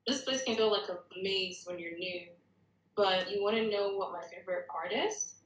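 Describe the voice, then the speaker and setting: A woman's voice: mid-range voice, moderate pace, echoey room, a little hiss or hum, natural voice, rough recording. A woman reading a confident, playful female character at a screenplay table read. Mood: gracious. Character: polished, confident society hostess, and a giggly psycho young bartender.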